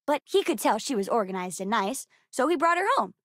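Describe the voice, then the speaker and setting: A woman voicing a bright, bookish, sassy young girl in a video game, earnest, chatty and precocious. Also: fairly high pitch, sing-song, brisk, dry recording, studio quality. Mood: cheerful, earnest. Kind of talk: video game character dialogue.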